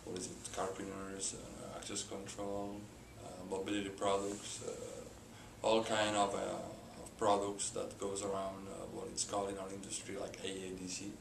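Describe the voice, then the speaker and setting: A middle-aged Spanish-accented man with a very low, gravelly voice talks in a flat, even way, stopping often to search for words. He is recorded in an echoey room with a steady hiss behind him. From Spain. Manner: halting, many filler "uh"s and restarts. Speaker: company president, interview guest. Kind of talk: hesitant unscripted interview answers.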